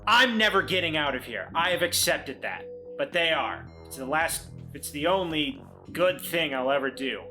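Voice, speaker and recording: Male tabletop player, improvised in-character dialogue, bright and forceful tone, soft music underneath. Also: fairly high pitch, expressive, normal speed.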